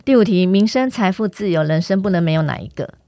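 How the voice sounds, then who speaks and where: A woman's voice: lowish voice, animated, quick pace, mellow, no echo, studio quality. A woman hosting a podcast, putting questions in a casual interview style. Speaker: podcast host.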